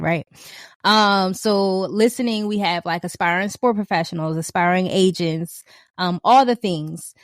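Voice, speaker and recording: A woman's voice: mid-range voice, no echo, studio quality. A woman hosting an interview podcast, encouraging and upbeat as she affirms her guest and asks her next question. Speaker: podcast host.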